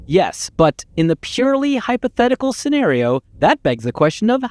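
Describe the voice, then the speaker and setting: A man's voice: high voice, big pitch swings, moderate pace, a little mellow, no echo. A man playing a condescending, exasperated narrator in a video game, articulate and dryly sardonic. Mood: sardonic, lecturing. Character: exasperated, pompous male narrator voice guiding the hero.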